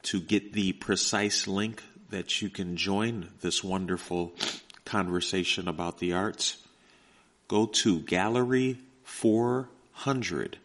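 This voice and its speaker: A man hosting, reading out event announcements in a slow, relaxed way with many fillers. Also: deep voice. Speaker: radio host. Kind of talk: casual announcements.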